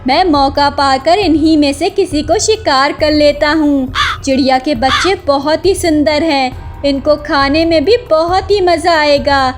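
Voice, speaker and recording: Casting: sly cartoon crow villain played by a woman, high-pitched and menacing, music behind. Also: a little slow, few pauses. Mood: gloating. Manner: scheming, menacing. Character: sly, gloating male crow villain hunting chicks.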